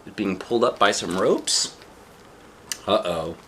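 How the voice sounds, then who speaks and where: A man reading a storybook aloud to a child, with dramatic drawn-out phrasing and casual asides. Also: on the low side, animated, very noisy. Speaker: dad reading a picture book to a child.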